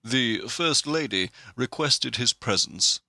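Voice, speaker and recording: A man plays a sardonic, proper aide in an audio comedy. His voice is bright and lively in pitch, his replies clipped and formal, with a dry studio sound. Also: slow, no room sound, exaggerated voice. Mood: wry. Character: wry, formal male narrator standing in as presidential aide. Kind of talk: comedic audio drama acting.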